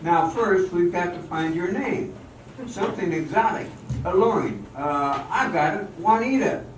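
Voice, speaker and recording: A man's voice: high-pitched, animated, a little slow, a little hiss or hum. Man acting a commanding showman dancer, echoey hall. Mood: confident, persuasive. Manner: commanding, instructive. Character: male professional dancer, exacting, impatient, cocksure showman. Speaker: actor in an amateur radio drama. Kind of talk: theatrical amateur radio drama acting. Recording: echoey hall, distant mic, music behind.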